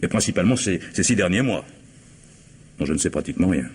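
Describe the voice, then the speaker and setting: A man's voice: low voice, lively intonation, fast talker, breathy edge. An actor playing a stern, agitated middle-aged man secretly in love, earnest and emphatic, in a French radio play. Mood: worried. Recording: noisy old radio drama recording.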